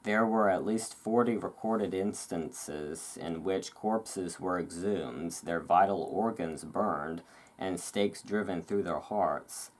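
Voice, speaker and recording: A host reading aloud in a relaxed ASMR style, with casual, musing asides. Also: low voice. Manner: rambling, musing asides between read passages.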